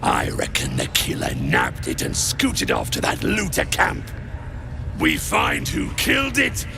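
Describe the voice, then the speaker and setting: A man voicing a brutish, irascible hobgoblin war leader in a fantasy video game, with a gruff, commanding, blunt delivery. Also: extremely low pitch, wildly swooping pitch, unhurried, over music, heavily acted. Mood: gruff, impatient. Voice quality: gruff, gravelly.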